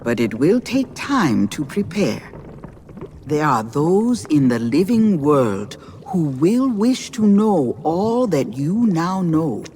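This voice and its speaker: An actress playing a calm, knowing mystical seer in a video game, speaking in a measured, portentous and formal way. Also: female voice, low voice, slight background noise, heavily acted. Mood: solemn reassurance. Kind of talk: video game character acting.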